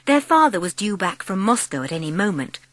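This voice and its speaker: A woman's voice: mid-range voice, big pitch swings, fairly quick, no echo. A woman reading scripted story narration for a dramatised audiobook.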